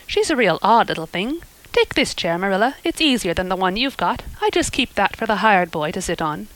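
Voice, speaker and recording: A woman's voice: medium pitch, very animated, a little fast, fairly bright tone, no echo, rough recording. A woman reading aloud, voicing an outspoken, bustling middle-aged neighbour who talks in a hearty, folksy way. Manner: hearty, folksy, rural talk.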